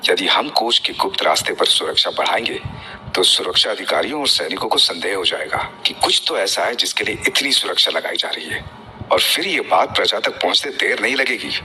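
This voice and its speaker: A man playing a scheming, domineering senior royal minister in a historical drama, speaking formal Hindi in a measured, authoritative way. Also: low-pitched, lively intonation, heavily acted. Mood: grave, conspiratorial. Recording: loud dramatic music behind.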